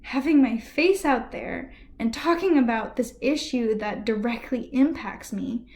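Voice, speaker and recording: Woman vlogger, on the higher side, earnest and ranty, talking to camera from a room at home. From United States. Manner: ranty and earnest, with soft breathy asides. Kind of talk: impassioned personal commentary to camera.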